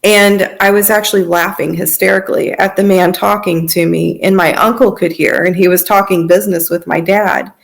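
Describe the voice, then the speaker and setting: A woman's voice: fairly low pitch, fairly even intonation, moderate pace, vocal fry, few pauses. An American woman, around her thirties, telling a personal story in a conversational way as a podcast guest.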